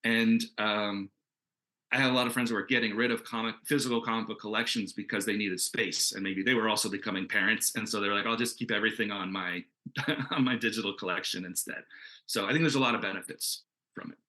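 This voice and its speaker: A man with a fairly low but clearly bright, forward voice talks through an answer as a creator on a panel. He is earnest and casual, wandering through his thoughts and circling back to them. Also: fairly even intonation, brisk. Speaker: comic book creator on a Q&A panel.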